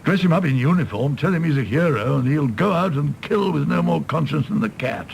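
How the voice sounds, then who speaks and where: Cynical, creaky-voiced British doctor. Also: male voice, a little high, animated, brisk, a little hiss or hum, low-quality recording. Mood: wry, cynical. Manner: wry, rambling, theatrical musing. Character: cynical, world-weary doctor, a fallen idealist, teasing and philosophical. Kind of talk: British radio play acting.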